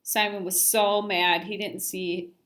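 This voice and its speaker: A woman's voice: mid-range voice, little pitch movement, takes it slowly, a bit bright. A woman narrating a children's story aloud expressively, like a teacher reading to a class.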